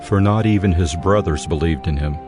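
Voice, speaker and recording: A man with a low, deep voice narrates a dramatized Bible. His delivery is measured and solemn, with music clearly playing underneath.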